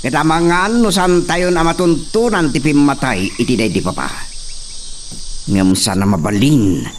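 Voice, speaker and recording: A man's voice: a little high, lively intonation, normal speed, a little hiss or hum, close to an everyday voice. A man playing a commanding young master in a radio drama who gives orders and scolds his servant.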